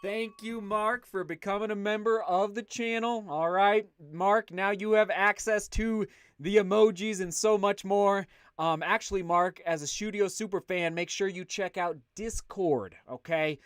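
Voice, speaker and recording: A man hosting a casual livestream, chatting upbeat and loud while reading out viewer comments. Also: high-pitched, fairly even intonation, unhurried, clean tone, no room sound, clean studio sound. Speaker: livestream host.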